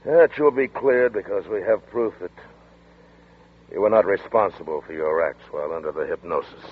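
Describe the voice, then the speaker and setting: A steady, husky protective friend. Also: male voice, sits low, moderate pace. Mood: reassuring. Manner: reassuring, matter-of-fact. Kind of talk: 1940s radio acting. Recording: tinny lo-fi 1940s radio broadcast.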